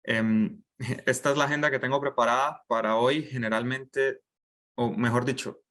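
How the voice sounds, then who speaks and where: A man's voice: mid-range voice, steady tone, moderate pace, fairly bright tone. A young man with a Colombian accent, speaking as a guest lecturer and doctoral student in an informal online class. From Bucaramanga, Colombia. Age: young adult.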